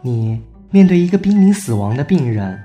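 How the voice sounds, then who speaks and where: A man playing a free-spirited traveling cousin, giving measured instruction in an amateur radio drama. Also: medium pitch, sing-song, warm tone, soft background music, close to an everyday voice. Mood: guiding.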